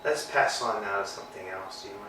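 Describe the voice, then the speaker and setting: An actor playing a petulant, weary, childish tramp in a staged play reading, theatrically swinging between mutters and yells. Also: male voice, lowish voice, quick pace, close to an everyday voice. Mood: weary, idle. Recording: very echoey room, distant mic, faint noise.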